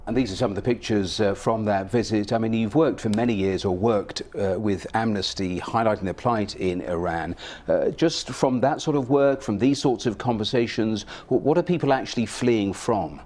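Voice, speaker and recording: A male television interviewer with a fairly low voice. He introduces his guest in a polished, measured way and asks questions with small hesitations. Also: a little mellow. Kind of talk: broadcast interview.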